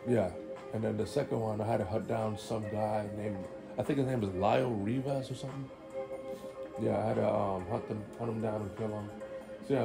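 A man's voice: fairly low pitch, vocal fry. A young man with a stuffy nose giving casual, rambling gameplay commentary, swearing freely. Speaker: gaming YouTuber.

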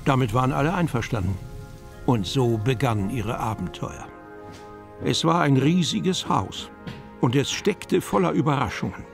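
A man's voice: medium pitch, expressive, normal speed, vocal fry. A man narrating a children's fantasy radio play as a storyteller. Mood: calm storytelling. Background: music.

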